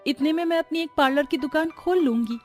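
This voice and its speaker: A woman's voice: sits high, a little fast, faint music behind, natural voice. A woman playing a devout, anxious wife in a moral story, speaking in an earnest, imploring way. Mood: earnest request. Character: devout, anxious young wife seeking money.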